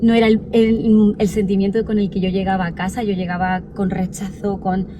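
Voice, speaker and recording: A woman's voice: medium pitch, flat delivery, brisk. A woman in her forties, born in El Salvador, giving an earnest personal testimony as an interview guest. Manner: earnest, reflective storytelling. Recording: noisy background ambience.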